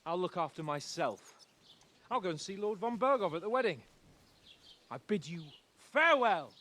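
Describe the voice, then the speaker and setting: A man's voice: high voice, big pitch swings, moderate pace, bright, forceful tone, full character voice. An actor playing a haughty young man dismissing a servant. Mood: disdainful. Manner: disdainful, dismissive.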